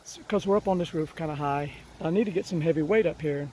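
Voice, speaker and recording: A fairly high-voiced man talks through a roof repair in a folksy, rambling way, restarting his sentences as he works. His voice is rough from a cold. A faint hiss sits behind him outdoors. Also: fast, warm tone. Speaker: DIY vlogger. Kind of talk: casual narration while working.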